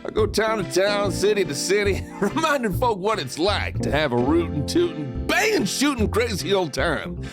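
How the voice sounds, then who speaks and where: Cocky Wild West outlaw with lively swings, acted out. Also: mid-range voice, normal speed, few pauses, over music, close to an everyday voice. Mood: boastful, playful. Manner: showy, folksy, playful swagger. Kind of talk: theatrical first-person storytelling, audio drama.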